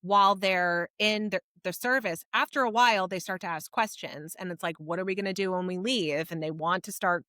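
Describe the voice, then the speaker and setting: A woman with a bright, forward voice talks through a book as a podcast co-host. She explains in a casual, animated way, and the sound is close and dry. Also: on the low side, brisk, hardly any pauses, no room sound. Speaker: podcast co-host reviewing books.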